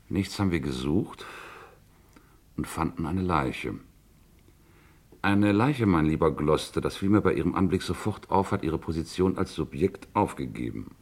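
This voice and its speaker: Very deep, pompous rogue philosopher. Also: male voice, lively intonation, unhurried, long pauses, darkish tone, exaggerated voice. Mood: lecturing. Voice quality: gravelly, husky. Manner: grandiloquent, lecturing. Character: pompous, philosophizing rogue, self-styled aesthete with scruples. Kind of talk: German radio crime play acting.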